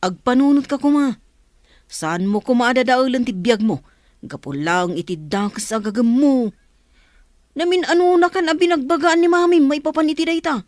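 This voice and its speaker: A woman's voice: high voice, animated, normal speed, a little mellow, no echo, studio quality. A woman acting a disapproving, advising friend in a serial audio drama. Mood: admonishing. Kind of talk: Filipino radio-style drama dialogue.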